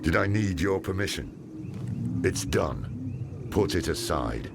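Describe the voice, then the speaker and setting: Grizzled old scholar, very deep, heavy gravel, stern, loud score behind. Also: male voice, expressive, slow, very noisy, exaggerated voice. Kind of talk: game cutscene acting.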